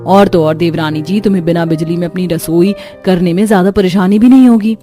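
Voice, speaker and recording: A woman's voice: lowish voice, fairly quick, mellow, soft background music, close to an everyday voice. A woman voicing a caring, earnest elder sister-in-law in a cartoon family story. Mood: reassuring. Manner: soothing, earnest.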